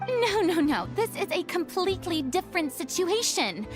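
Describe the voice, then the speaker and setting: A woman's voice: very high-pitched, big pitch swings, normal speed, music in the background. A woman playing a proud, theatrical young former stage star in a video game, speaking in a grandiose, formal, dramatic manner. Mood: indignant.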